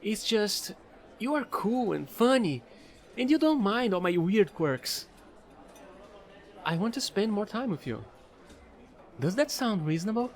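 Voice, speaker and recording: A man's voice: high voice, big pitch swings, a little slow, long pauses, heavily acted. A man playing an offbeat, chatty fallen angel space trader in an audio roleplay, rambling playfully to the listener in casual slang. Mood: flustered, sincere.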